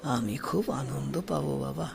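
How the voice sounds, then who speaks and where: A man voicing a gentle grandfather in his seventies, speaking in a soft, halting, breathy near-whisper in an audio story. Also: medium pitch, pitched below the performer's own voice. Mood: tender, wistful. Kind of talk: audio story character acting.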